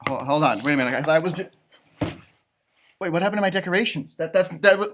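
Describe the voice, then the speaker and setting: A man doing an expressive children's picture book read-aloud, with chatty asides and musing exclamations. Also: fairly high pitch, quick pace, a little hiss or hum. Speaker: storytime reader and elementary teacher.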